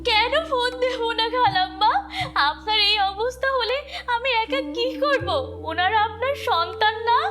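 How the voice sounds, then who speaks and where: Woman doing a fretful village carer in a cartoon, really squeaky high, earnest, loud music under it. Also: moderate pace. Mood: alarmed concern. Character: devoted, anxious village woman caring for an elder. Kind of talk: melodramatic cartoon voice acting.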